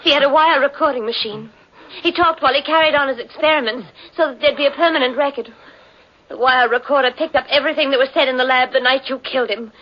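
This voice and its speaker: An actress playing a vengeful, resolute daughter who speaks with accusing, dramatic deliberation in a 1940s radio thriller. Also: female voice, high-pitched, fast, natural voice. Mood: cold, explaining. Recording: muffled vintage radio recording.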